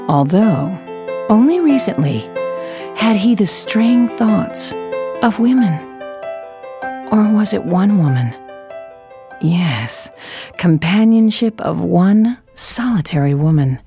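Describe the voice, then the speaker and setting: Woman narrating a suspenseful tale. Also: fairly low pitch. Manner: measured, suspenseful storytelling. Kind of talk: scripted dramatic narration.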